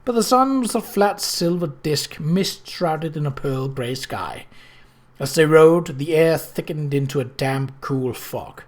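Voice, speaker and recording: A narrator reading a novel aloud in an expressive, scripted storytelling style. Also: medium pitch, unhurried. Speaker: audiobook narrator.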